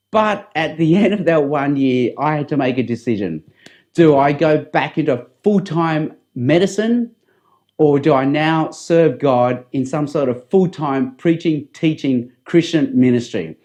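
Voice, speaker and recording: A middle-aged Australian man with a fairly high voice speaks straight to the viewer. He gives a personal testimony in an earnest, deliberate manner, like a practised public speaker. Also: a little mellow. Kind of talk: testimony addressed to camera.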